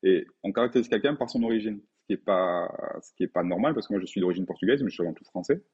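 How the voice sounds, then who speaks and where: A man's voice: lowish voice, rapid-fire, slightly rough, lo-fi sound. A man in his forties from France, talking casually as an interview guest, thoughtful and hesitant with many fillers and restarts. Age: 40s. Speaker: guest, painter.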